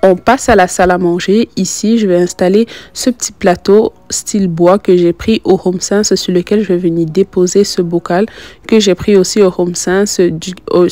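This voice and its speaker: A young woman narrates a home decoration vlog in a casual, chatty way. Her voice sits a little low and has a very mellow, soft-edged tone. She speaks straight to her viewers as the host of her own channel. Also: no room sound. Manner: chatty, addresses viewers directly. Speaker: lifestyle vlogger and host.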